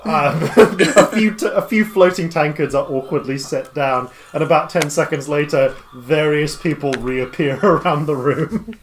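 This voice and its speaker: A man narrating a tabletop game as game master, improvising in a halting way as he thinks aloud. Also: a little high, a little hiss or hum.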